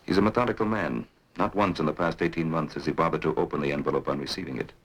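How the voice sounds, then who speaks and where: A menacing boss in a 50s radio drama, super low gravelly voice, slow calm pressure. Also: male voice, heavily acted, low-quality recording. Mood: cold, menacing. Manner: slow, measured, coldly persuasive. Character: cold scheming male employer plotting murder. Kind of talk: 1950s radio drama acting.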